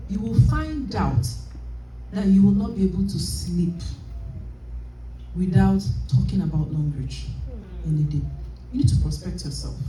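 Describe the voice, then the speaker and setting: A woman delivers an animated, emphatic sales training talk with a mellow, relaxed tone. She sounds distant from the mic, her voice ringing through a big echoey convention hall. Faint room noise sits behind her. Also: mid-range voice. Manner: animated, emphatic, laughs often. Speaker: business trainer.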